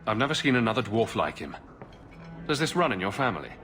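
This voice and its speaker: Adventurer hero, lower pitch, varied intonation, inquisitive, reassuring, game dialogue. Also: male voice, very animated, quick pace, a bit bright, soft background music. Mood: friendly, curious. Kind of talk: video game voice acting.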